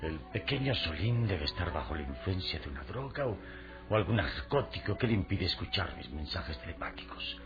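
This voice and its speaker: A man's voice: sits low, very animated, normal speed. A man acting a noble, brooding adventurer hero in a Latin American radio serial, speaking in grave, formal, dramatic phrases. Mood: anguished, brooding. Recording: dull radio-drama recording with music underneath.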